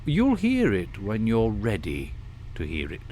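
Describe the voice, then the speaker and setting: A man playing a formal, fatherly senior starship commander with a measured, tactful manner in a sci-fi audio drama. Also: lowish voice, huge pitch swings, dark tone, a little hiss or hum. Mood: gentle, measured. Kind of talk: science fiction audio drama acting.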